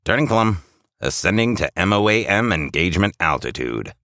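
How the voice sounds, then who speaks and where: A man voicing a reindeer who flies as a calm military pilot in a comic audio story. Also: deep voice, moderate pace, no room sound, clean studio sound, voice pushed up, heavily acted. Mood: businesslike.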